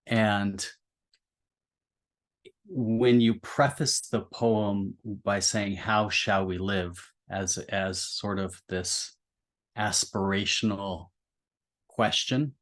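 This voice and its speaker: Guy talking poetry on a podcast, kinda low voice, really slow, thinks out loud in a halting way. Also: fairly even intonation. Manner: halting, reflective, roundabout phrasing. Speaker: podcast discussion participant. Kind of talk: thoughtful literary discussion.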